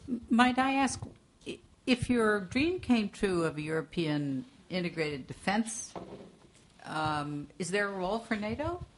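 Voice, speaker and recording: A woman moderates the discussion and hands out the turns. She eases into her questions hesitantly, in a voice of middle pitch. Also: lively intonation, slight background noise. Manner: hesitant, halting start.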